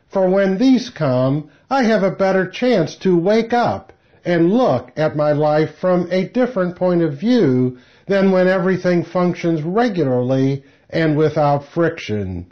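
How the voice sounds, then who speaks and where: A man reading a scripted spiritual lecture in a measured, deliberate, solemn manner. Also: a little high. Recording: slightly dull lo-fi recording.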